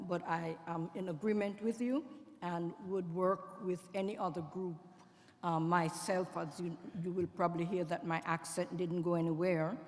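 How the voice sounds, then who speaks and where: A woman commissioner speaking at a public hearing in a conversational, slightly halting way. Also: lowish voice, a little mellow. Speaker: commissioner, former city council member. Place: public hearing in a school building. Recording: long reverb of a hearing hall.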